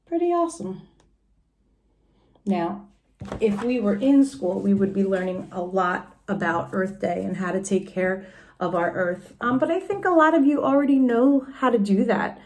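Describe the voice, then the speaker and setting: A woman with a medium-pitched voice reads a picture book aloud in a teacherly way. She breaks off into chatty, simple explanations and questions for her young listeners. The room adds a clear echo. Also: normal speed, a little mellow. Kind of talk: expressive children's storybook read-aloud with chatty asides to young listeners.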